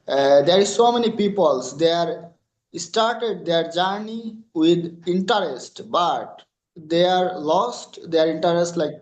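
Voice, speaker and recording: Bangladeshi man, Bengali native, guest answering questions; halting English, slow and deliberate, slightly high voice, echoey room. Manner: halting non-native English with many fillers. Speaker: freelancer guest. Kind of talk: interview answers.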